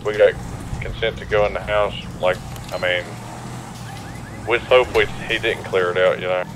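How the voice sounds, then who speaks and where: A man's voice: deep voice, steady tone, moderate pace. A man improvising casual police chat in game role-play, speaking as an officer. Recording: clear background noise, vehicle sounds.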